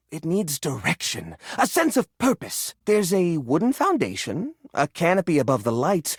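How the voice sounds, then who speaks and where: Game role: living junk drawer, quirky earnest hoarder, highly animated delivery, dry studio sound. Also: male voice, husky, no echo, exaggerated voice. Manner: expressive, earnest, fussy musing. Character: quirky, sentimental male junk drawer personified, fussy hoarder. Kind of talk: video game character acting.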